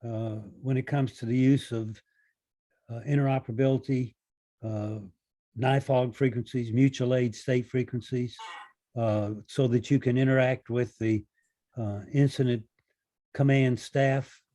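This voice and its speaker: A man with a mellow, dark voice at a middling pitch puts technical questions to a speaker. His delivery is deliberate and halting. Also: fairly even intonation. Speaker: audience questioner.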